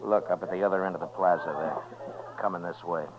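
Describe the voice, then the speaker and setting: An actor playing a steady, wry Old West marshal in a 1950s radio western, with an American accent and a dry, laconic delivery. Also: male voice, low-pitched, fast talker, crowd noise, natural voice. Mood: watchful, decisive. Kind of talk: 1950s radio western acting with first-person narration. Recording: muffled 1950s radio recording with music.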